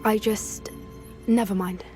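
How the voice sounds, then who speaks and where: A woman's voice: mid-range voice, steady tone, takes it slowly, lightly acted. An actress playing an earnest young witch who cares about magical creatures in a video game. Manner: earnest, gentle. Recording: game audio with faint music.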